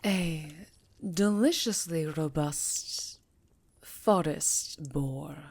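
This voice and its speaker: A woman's voice: deep voice, a little mellow, dry recording, full character voice. A woman voicing a regal, sly vampire countess in an ASMR fantasy roleplay, formal and savoring her words with faint menace.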